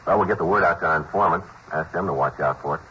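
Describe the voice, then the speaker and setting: A very deep-voiced detective speaks quick, clipped and flat, with a bright edge to the voice. The sound is a muffled old radio broadcast with faint hiss. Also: male voice. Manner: clipped, flat, matter-of-fact delivery. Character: terse, calm male police detective. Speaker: detective and narrator. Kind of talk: 1950s radio police drama.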